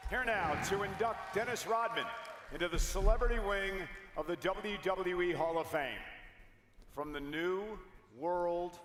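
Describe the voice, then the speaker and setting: Male announcer, high voice, echoey arena, faint ambience. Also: measured pace, a bit bright, a little hiss or hum. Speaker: ceremony announcer. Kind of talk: announcing introductions.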